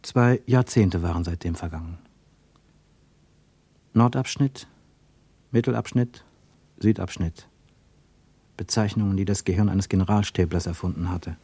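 A man narrating a literary radio play with measured, deliberate phrasing. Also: deep voice, mellow, husky, no echo.

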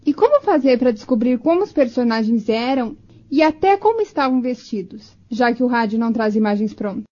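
A woman's voice: on the high side, sing-song, brisk, mellow. A Brazilian woman reading scripted narration as the narrator of a radio documentary. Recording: slightly muffled lo-fi audio.

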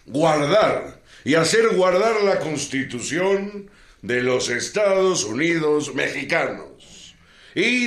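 In a reverberant space, a solemn politician declaims a formal oath for radio drama. His delivery is slow and swings widely in pitch. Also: fairly bright tone. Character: male politician solemnly swearing a presidential oath. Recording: echoey hall sound.

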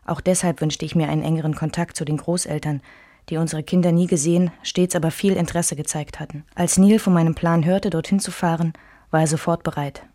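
A woman playing a wistful memoirist who recalls her marriage in measured radio drama narration. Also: low-pitched, steady tone, warm tone. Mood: reflective.

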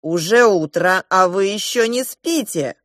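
A woman voicing an indignant young male prince who speaks loudly and reproachfully in a storybook reading. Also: mid-range voice, animated, no echo, clean studio sound. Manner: loud, reproachful, drawn-out.

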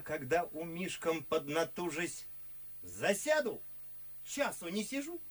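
An actor playing a boastful, good-natured, foolish gentleman in a radio play, gushing and confiding in theatrical verse with a husky voice. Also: sits high, exaggerated voice. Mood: self-mocking, merry. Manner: gushing, exclamatory, conspiratorial, drawing words out.

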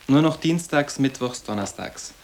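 A young man playing a sarcastic teenage boarding-school boy in a radio drama, curt and offhand, with a gravelly edge. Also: mid-range voice, animated, moderate pace, darkish tone, a little hiss or hum, close to an everyday voice. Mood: offhand, reserved.

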